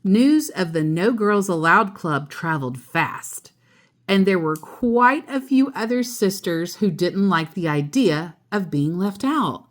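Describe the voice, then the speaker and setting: A woman reading a children's picture book aloud in an expressive, animated way, acting out the characters. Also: mid-range voice, slow pace. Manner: animated, acts out character voices.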